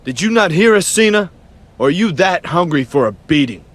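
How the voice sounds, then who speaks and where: A man's voice: sits high, sing-song, normal speed, a bit bright, heavily acted. A man playing a mocking, menacing wrestling bully in a scripted video game scene. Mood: taunting. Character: taunting, bullying male wrestling heel. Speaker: pro wrestler.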